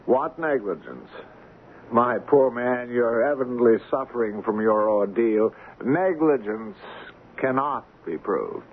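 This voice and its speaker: An actor playing a haughty, callous shipping company boss with a formal, condescending manner in an old-time radio drama. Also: male voice, mid-range voice, expressive, slow pace. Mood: smugly dismissive. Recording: lo-fi, noisy radio drama recording.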